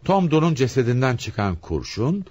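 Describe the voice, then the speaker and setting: A hard-pressed police commissioner in a radio play. He is stern and insistent, pushing and commanding, with a husky mid-range voice that rises and falls dramatically. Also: medium pitch, sing-song, normal speed, a little mellow, dull audio. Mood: firm, probing. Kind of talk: radio theatre acting.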